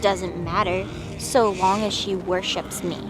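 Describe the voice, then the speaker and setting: A woman's voice: mid-range voice, steady tone, a little slow, music in the background, close to an everyday voice, poor audio. A woman playing a short-tempered feathered goddess in an audio drama, cold, mocking and curt, giving orders with scorn. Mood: scornful.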